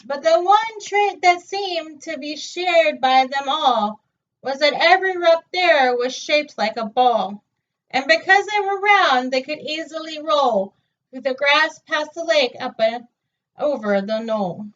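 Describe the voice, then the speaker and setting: A woman reading a children's picture book aloud with an expressive, singsong delivery, in a dull, distant recording. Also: high-pitched, unhurried. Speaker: storytime reader.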